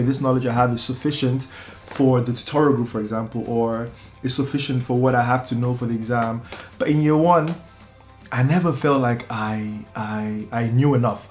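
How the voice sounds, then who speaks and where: A man in his twenties, a medical student, talking casually to the camera in a relaxed way, often restarting his sentences. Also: medium pitch. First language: English. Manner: relaxed, repeats words and restarts, many ums. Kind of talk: casual talking-head vlog.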